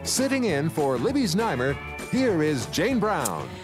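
Lively high-pitched male radio announcer over music. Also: a little slow. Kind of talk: scripted radio promo narration. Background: music bed.

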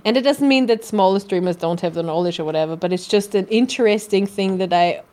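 A woman's voice: mid-range voice, quick pace, darkish tone. Rambling Austrian-accented woman host. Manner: rambling, frequent restarts and swearing. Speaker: podcast co-host. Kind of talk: casual chat.

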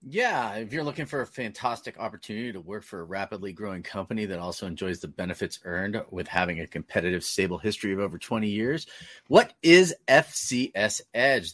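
A man's voice: lowish voice, expressive, fairly quick, a bit bright, no room sound. American man reading a sponsor spot, dry. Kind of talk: scripted ad read.

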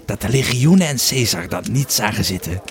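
A man acts out a grumpy old Roman soldier in an audio drama. The voice is low and gruff, and the old veteran tells his tale slowly and wearily. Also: lively intonation. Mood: solemn reminiscing. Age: older. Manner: gruff, slow storytelling. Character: grumpy old Roman legionary, male, weary and wine-drinking.

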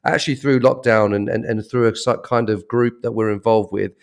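A man's voice: on the low side, fairly even intonation, fairly quick. A man hosting a podcast, introducing his guest with enthusiasm and many repeated words and fillers. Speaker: podcast host.

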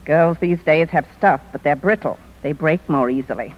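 An actress playing a shrewd older newspaper publisher with dry, theatrical wit in 1950s radio drama style. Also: female voice, low voice, moderate pace. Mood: wry, affectionate. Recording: dull vintage 1950s radio broadcast.

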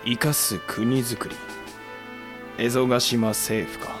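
A man acting as a fierce, blunt samurai general in a period audio drama, speaking roughly and commandingly. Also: mid-range voice, fairly even intonation, slow, over music, close to an everyday voice. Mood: serious, probing.

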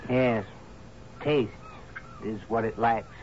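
A man's voice: lowish voice, fairly even intonation, takes it slowly, a little mellow. An actor in a radio drama playing a loyal, agreeable young man, deferential and hearty toward his captain. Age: 20s. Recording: dull, noisy 1970s radio recording.

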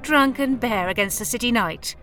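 An actress playing a cold, imperious noblewoman in a high fantasy audio drama, stern and contemptuous. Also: normal speed, bright, forceful tone, soft background music. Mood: cold fury.

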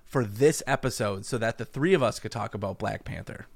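A man's voice: lowish voice, brisk, creaky, husky, dry recording. A man co-hosting a podcast in casual chat, teasing and joking with his guests. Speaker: podcast co-host.

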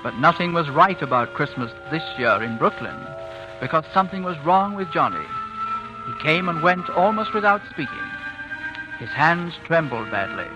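Higher-pitched male storyteller over music. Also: big pitch swings, moderate pace. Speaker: host and narrator. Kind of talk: scripted radio drama narration. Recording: dull 1940s radio broadcast.

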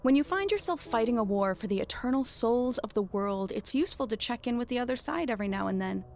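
A woman's voice: a little high, lively intonation, quick pace, a little mellow, a little hiss or hum. An actress playing an articulate, sarcastic and self-assured woman who claims to be a witch, in an audio drama. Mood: smooth, reasoning. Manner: articulate, sarcastic, persuasive, mock-patient.

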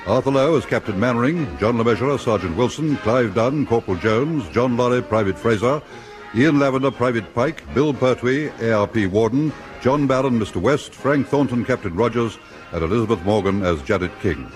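A man reading scripted broadcast credits as a formal, measured announcer. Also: mid-range voice, animated. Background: faint music.

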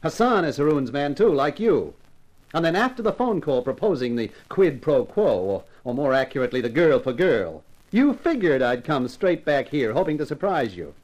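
Male lead: tough wisecracking gumshoe, animated pitch, slangy and sardonic, vintage radio thriller. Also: normal speed, poor audio. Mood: bitter, sardonic. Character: wisecracking hardboiled American male private detective, weary and defiant. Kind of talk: 1950s radio crime drama acting with first-person narration.

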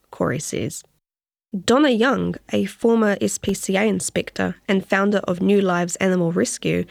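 A woman's voice: mid-range voice, creaky, no echo, clean studio sound. A woman journalist with a New Zealand accent, presenting a reported story for a podcast. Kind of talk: interview chat and scripted feature narration.

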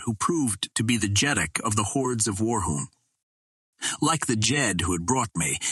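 A man reading an adventure novel aloud as dramatic narration, in a formal, measured literary manner. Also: on the low side, fairly bright tone, slightly rough, no echo. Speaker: audiobook narrator.

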